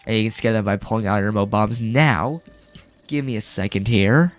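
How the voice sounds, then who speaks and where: A man's voice: fairly low pitch, brisk, faint music behind. Casual man narrating a game, still coughing. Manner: rambling and casual, full of fillers. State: getting over a cold, with a cough. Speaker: gaming video host. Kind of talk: let's-play commentary.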